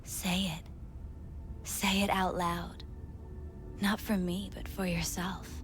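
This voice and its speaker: Whispery, probing android companion. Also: female voice, on the low side, level tone, takes it slowly, over music. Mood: calm probing. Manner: soft, breathy, half-whispered delivery. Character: sultry female android companion, calm and probing. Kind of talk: video game cutscene acting.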